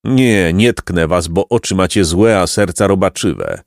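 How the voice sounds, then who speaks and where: A man's voice: low-pitched, measured pace, gravelly, no echo, clean studio sound. Man voicing an ancient, stern storybook raven. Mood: stern scorn. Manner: solemn, condemning, oracular. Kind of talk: storybook character reading.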